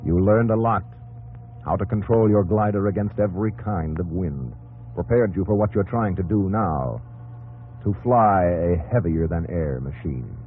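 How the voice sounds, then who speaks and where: An American actor playing a solemn, probing narrator in dramatic 1950s radio style, addressing the hero directly. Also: male voice, low-pitched, moderate pace, lightly acted. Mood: solemn, admiring. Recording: muffled old radio broadcast, music underneath.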